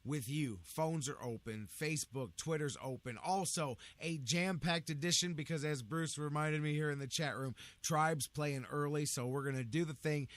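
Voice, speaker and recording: Gravelly-voiced energetic radio host man. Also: on the high side, normal speed, hardly any pauses, no room sound. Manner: energetic, rambling, catchphrase-heavy. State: gravelly, short on sleep. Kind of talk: sports talk radio.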